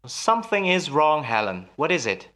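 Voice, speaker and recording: A smooth radio-melodrama husband played by a male actor, with a very lively, swooping delivery. He soothes and coaxes in a patronizing way, with quiet menace under the concern. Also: on the high side, moderate pace. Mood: soothing concern. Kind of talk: old-time radio melodrama acting.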